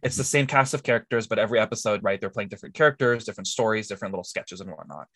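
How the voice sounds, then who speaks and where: A man's voice: fairly low pitch, rapid-fire, fairly bright tone, no echo. Man co-hosting a casual podcast, dry sound. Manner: jokey, conversational. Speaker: podcast co-host. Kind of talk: casual explanatory podcast chat.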